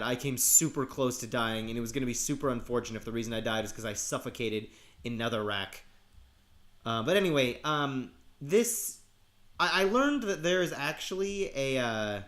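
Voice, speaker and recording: A man narrating his survival game casually while sick, his voice gravelly and cracking, rambling with halting restarts. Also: medium pitch, fairly bright tone. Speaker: let's play host. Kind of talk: casual gameplay commentary.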